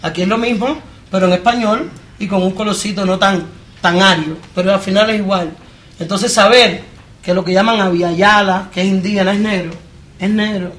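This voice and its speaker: A woman's voice: mid-range voice, fairly even intonation, moderate pace, a bit bright. A woman from Cuba with a Cuban accent, speaking with force at a live public discussion, addressing the audience directly with rhetorical questions. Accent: Cuban Spanish. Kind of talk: impassioned live panel talk.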